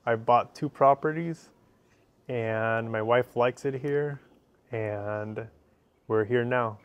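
A man's voice: mid-range voice, slow, clean tone, no echo, muffled recording. A man in his thirties from Ecuador with an Ecuadorian Spanish accent, a native Spanish speaker, answering interview questions in short, simple sentences on an outdoor patio. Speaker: interview guest. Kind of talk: casual interview. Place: covered outdoor patio by a fireplace.

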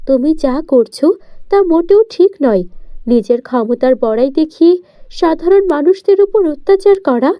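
A woman's voice: very high-pitched, no room sound, pitched above the performer's own voice. A woman voicing a good female fairy who earnestly scolds and preaches, in a dull, dry recording.

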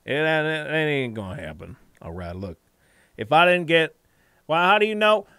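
A man's voice: a little high, sing-song, normal speed, a bit bright, clean tone, no room sound. A man livestreaming a game in casual, rambling chat, repeating phrases and swearing freely, with a put-on folksy hillbilly drawl.

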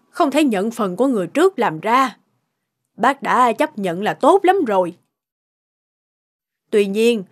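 A woman's voice: fairly high pitch, no room sound. A woman voicing a stern, scheming older mother-in-law in a cartoon, speaking firmly and lecturing. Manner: firm and lecturing. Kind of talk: cartoon dub acting.

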